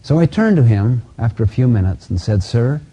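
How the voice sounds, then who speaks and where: A man giving a motivational teaching talk, speaking emphatically and deliberately and repeating key phrases as a self-help speaker. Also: medium pitch, animated, dark tone, husky. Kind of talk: motivational teaching lecture with personal anecdotes. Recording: dull, lo-fi audio.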